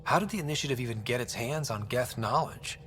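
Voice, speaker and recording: An actor playing an easygoing, inquisitive young space explorer and team leader in a video game, casual and questioning. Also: male voice, medium pitch, fast, fairly bright tone, a little hiss or hum, lightly acted. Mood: curious, surprised.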